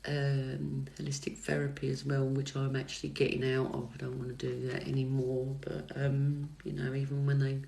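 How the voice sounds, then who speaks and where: A middle-aged woman, a psychic tarot reader chatting on a livestream and answering comments in a soft, calming voice, hesitant with many fillers and restarts. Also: rumbling low, moderate pace, gravelly, hardly any pauses. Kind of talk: casual livestream chat answering viewer comments. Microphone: phone livestream mic.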